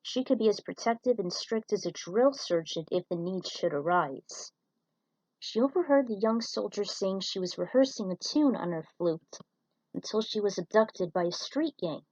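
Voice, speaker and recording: A woman's voice: medium pitch, expressive, normal speed, a little mellow, no room sound, low-quality recording. A woman reading a scripted story aloud as its narrator, with the odd stumble over a word. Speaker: narrator reading fan fiction.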